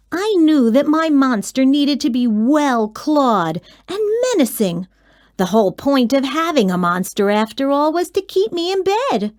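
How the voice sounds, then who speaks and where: A woman narrating a children's storybook in an expressive read-aloud style. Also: high-pitched, no echo, clean studio sound.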